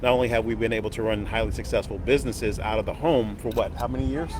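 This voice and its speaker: A man's voice: fairly low pitch, quick pace. A man hosting a casual livestream outside a hotel, upbeat and folksy, laughing easily. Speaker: host. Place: outdoors by a hotel on a busy street. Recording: loud outdoor traffic noise.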